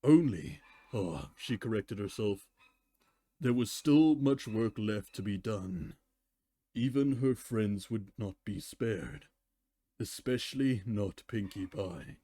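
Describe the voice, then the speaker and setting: A man's voice: on the low side, expressive, takes it slowly, warm tone, no echo. A man giving a live dramatic reading of fan fiction as narrator. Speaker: story reader.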